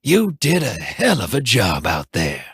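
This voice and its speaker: An actor playing a wry, easygoing scoundrel in a video game, husky and colloquial, with a drawling delivery. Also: male voice, lowish voice, wildly swooping pitch, measured pace, fairly bright tone, no room sound, full character voice. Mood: wry approval. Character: wry, roguish, easygoing space scoundrel man.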